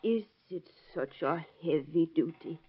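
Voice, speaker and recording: Worried granny, broken english, gentle nagging, 1930s radio play, dull lo-fi sound. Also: female voice, deep voice, a little slow, breathy edge. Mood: gently reproachful. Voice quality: broken English with extra syllables. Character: anxious, caring immigrant grandmother and mother-in-law. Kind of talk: 1930s radio drama acting. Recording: muffled vintage 1930s radio recording.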